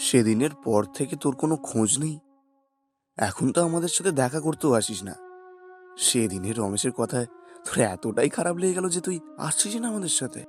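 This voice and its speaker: A man's voice: mid-range voice, sing-song, fairly quick, a little mellow, natural voice. A man voicing a concerned, loyal young friend, familiar and inquisitive, in a cartoon story. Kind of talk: cartoon moral story dubbing. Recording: music behind the voice.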